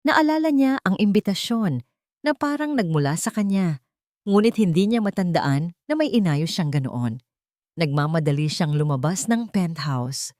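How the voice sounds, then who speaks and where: A woman's voice: lowish voice, animated, normal speed, darkish tone, dry recording, clean studio sound. A woman narrating a scripted romantic drama story in a dramatic storytelling style.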